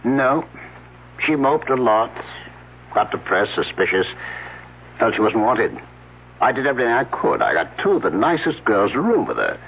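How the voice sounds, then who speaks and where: A man's voice: mid-range voice, very animated, normal speed, pauses often, a little hiss or hum. An actor playing a hesitant male archaeology professor who hems and haws. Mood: fussy. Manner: hesitant, full of uh's. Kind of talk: 1970s radio drama acting. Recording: tinny old radio broadcast.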